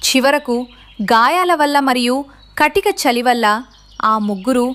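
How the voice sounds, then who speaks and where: A woman's voice: sits high, a little slow, a bit bright. A woman narrating a scripted story for children.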